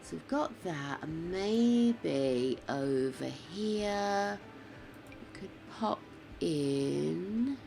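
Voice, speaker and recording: An older woman streaming a building game, chatting casually, swearing freely and muttering to herself, her voice croaky from a sore throat. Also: lowish voice, animated, drawn-out words, warm tone, a little hiss or hum.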